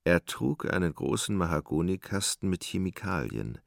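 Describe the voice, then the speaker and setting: Very deep, gravelly male narrator. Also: big pitch swings, no echo, studio quality. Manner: measured, grave. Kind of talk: dramatic literary narration in a radio play.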